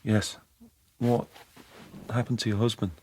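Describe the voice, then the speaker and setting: An actor playing a wary, melancholy English party man in a dystopian radio drama, speaking in a halting, guarded, terse manner. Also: on the low side, level tone, warm tone, slight background noise, natural voice. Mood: halting, subdued. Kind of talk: naturalistic radio drama acting.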